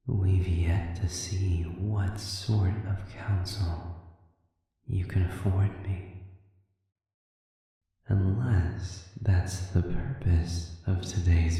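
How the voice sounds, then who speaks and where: A performer voicing a sadistic male demon prisoner in an audio roleplay, speaking formally and sardonically with a taunting, manipulative silkiness that often sinks toward a breathy whisper. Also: low voice, fairly even intonation, extremely slow, pauses often, warm tone, full character voice. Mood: sly, mocking. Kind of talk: slow audio roleplay monologue addressed to listener. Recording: cavernous echoing reverb, distant and muffled.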